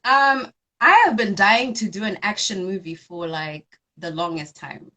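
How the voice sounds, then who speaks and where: A woman in her twenties from Zimbabwe, an actress chatting in an interview in a bubbly, animated way, often laughing. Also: mid-range voice, a little slow, rough recording. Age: 20s. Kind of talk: casual interview chat.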